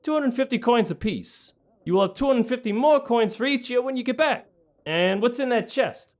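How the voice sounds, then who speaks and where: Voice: medium pitch, animated, moderate pace, natural voice. A performer voicing a town mayor handing out quest money in a coaxing, businesslike way, in a fantasy audio drama.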